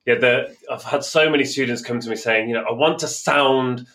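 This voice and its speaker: A man at a middle pitch, talking as a language teacher in a relaxed conversation. He agrees readily and stresses his points. His speech is loose and full of fillers. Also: a little fast. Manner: agreeable, emphatic, many fillers. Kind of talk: casual interview chat.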